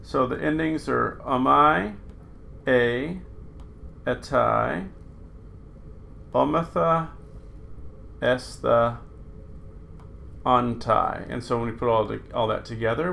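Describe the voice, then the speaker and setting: Slow, gravelly-voiced male Greek teacher. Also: medium pitch, pushed and bright, a little hiss or hum. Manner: unhurried, talking through slides with fillers. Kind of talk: recorded screen lesson.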